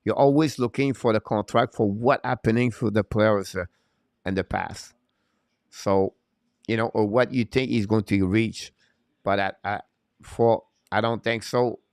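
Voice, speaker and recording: Man, lowish smooth voice, dry close sound, opinionated live sports commentary. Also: unhurried, no echo. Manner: opinionated, emphatic, repeats points. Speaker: hockey live-stream host. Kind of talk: unscripted sports commentary, answering live chat.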